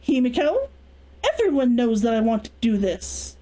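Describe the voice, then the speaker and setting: A woman acts out a proud young heiress in a storybook tale. Her voice is high and very animated. She fires back clipped, indignant retorts and refuses to give ground. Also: normal speed, rough recording. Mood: defiant.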